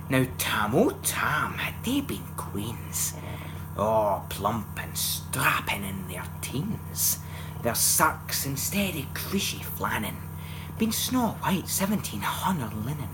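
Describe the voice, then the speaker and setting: Scottish man reciting verse, very animated delivery. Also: medium pitch, background noise. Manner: theatrical, expressive, swinging from hushed to loud. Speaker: poetry reciter. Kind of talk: dramatic recitation of a Scots narrative poem.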